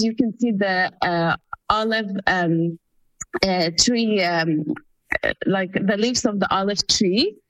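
A woman's voice: on the high side, a bit bright, no echo, poor audio. A woman of Palestinian family background, answering interview questions in an earnest, explanatory way with many fillers. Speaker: guest.

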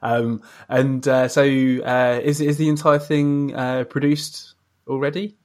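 A male podcast host with a mid-pitched voice, chatting casually with a guest. He comes across as agreeable and encouraging, speaking in an informal, conversational way. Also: level tone, slow, a little mellow. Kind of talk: casual interview chat.

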